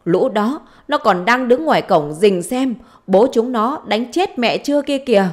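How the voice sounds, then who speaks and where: A woman's voice: mid-range voice, lively intonation, moderate pace, natural voice. A woman voicing a spiteful, foul-mouthed middle-aged village wife in a story, scolding, wailing and taunting. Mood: bitter complaint.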